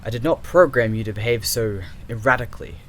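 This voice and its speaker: A man's voice: mid-range voice, fast, slight background noise, close to an everyday voice. A man playing an exasperated, sarcastic creator of an artificial intelligence in an audio roleplay. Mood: irritated, impatient. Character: exasperated male creator and programmer of an archive AI.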